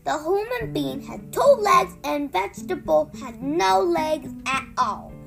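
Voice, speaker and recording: A big muddled storybook giant, put on by a woman reader, protesting in a very high, booming voice. His delivery swoops widely, with indignant emphasis and jumbled grammar. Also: expressive, unhurried, vocal fry, faint music behind, heavily acted. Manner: booming, mangled grammar and invented words. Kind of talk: children's storybook reading.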